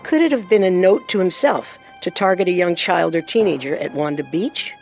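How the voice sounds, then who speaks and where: A woman co-hosting a scripted true-crime programme, narrating in a measured, serious way with music behind her. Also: lowish voice, animated, gravelly, darkish tone. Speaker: co-host narrator.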